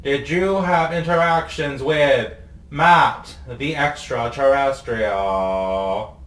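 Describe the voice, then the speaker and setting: A man's voice: mid-range voice, extremely slow, a bit bright. A man voicing a menacing government agent with a threatening, interrogating manner in a radio-style story. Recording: echoey room, faint music.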